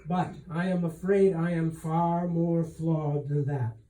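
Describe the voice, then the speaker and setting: Man reading as a wry thoughtful queen, slow regal talk, soft dark tone, roomy far mic. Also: on the high side, steady tone. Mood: humble, admiring. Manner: measured, regal, reflective. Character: gracious, self-doubting adult queen, wry and frank. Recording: roomy echo, distant microphone.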